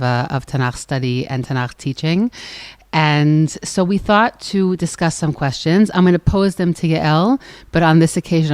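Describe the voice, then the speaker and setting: Woman podcast host, calm soft voice, dry close sound. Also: deep voice, dry recording. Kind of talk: live podcast conversation before an audience. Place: live event before an audience.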